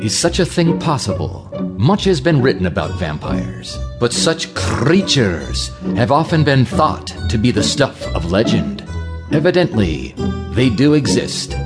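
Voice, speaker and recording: A man's voice: mid-range voice, wildly swooping pitch, measured pace, over loud music. A man playing the formal, theatrical narrator of a mystery serial on a radio drama. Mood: suspenseful, wry.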